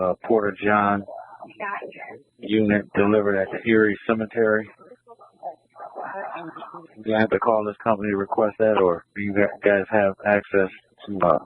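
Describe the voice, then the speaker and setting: Low-voiced man on a tinny police radio. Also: normal speed, long pauses. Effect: two-way radio. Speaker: radio operator relaying service requests. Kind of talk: police and city radio dispatch traffic. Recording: tinny, band-limited police scanner radio.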